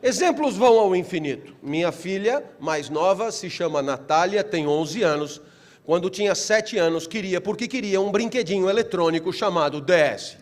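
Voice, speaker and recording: A middle-aged man with a Brazilian accent gives a motivational philosophy lecture in a fairly high voice. His delivery is theatrical, full of rhetorical questions and repetition. Slow, deliberate phrases climb into loud, animated peaks. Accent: Brazilian Portuguese.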